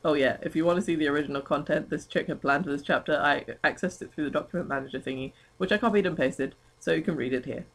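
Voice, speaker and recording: A woman's voice: deep voice, quick pace, hardly any pauses. Mock-dramatic young woman reading fan fiction. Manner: mock-dramatic, breaks into giggles. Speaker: amateur reader of fan fiction.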